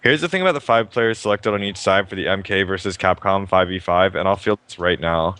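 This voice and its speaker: Young man, stream host, low voice, bright tone, quick, restarts sentences, dry sound. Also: clear, resonant tone, dry recording. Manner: fast, restarts sentences, upbeat. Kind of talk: casual game commentary.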